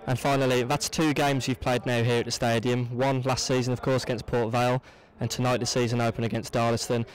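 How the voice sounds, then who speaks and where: A man's voice: medium pitch, level tone, brisk, low-quality recording. A man interviewing for a football club, asking fluent, presenterly post-match questions.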